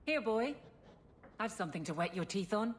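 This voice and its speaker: An actress playing a gruff, dutiful guard captain in a fantasy video game. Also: female voice, mid-range voice, animated, moderate pace, faint music behind, lightly acted. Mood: fond, wry.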